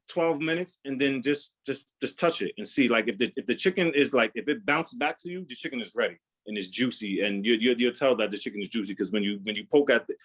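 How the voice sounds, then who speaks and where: Brief: young male chef, loud voice, rambling, talks casually, mid pitch. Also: slightly rough. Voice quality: loud, carrying voice. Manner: rambling, repeats words, many fillers. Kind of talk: casual live cooking demo Q&A.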